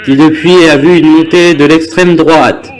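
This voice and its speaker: A man's voice: a little high, steady tone, a little slow, low-quality recording. A young man reads a scripted newsreel narration in a formal manner. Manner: formal, reading aloud. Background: music.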